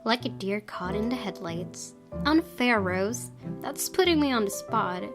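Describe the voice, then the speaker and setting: Woman narrating over clearly audible music. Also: mid-range voice, expressive, a little slow. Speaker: gaming video host. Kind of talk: expressive reading of visual novel narration.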